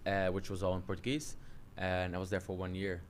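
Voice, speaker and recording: A Dutch man in his early thirties, speaking English with a Dutch accent, relaxed and chatty as a coach telling his story in an interview. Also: deep voice, brisk, a little hiss or hum. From Netherlands. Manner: relaxed, chatty, many fillers and self-restarts. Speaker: jiu-jitsu coach and interview guest.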